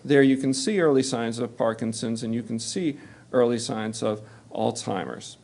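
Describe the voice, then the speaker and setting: American man, middle-aged, medical lecture, measured explanatory tone, mid-pitched. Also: gravelly, a little mellow. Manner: measured explanatory delivery, occasional fillers. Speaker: physician presenting at a medical seminar. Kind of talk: lecture to health care workers.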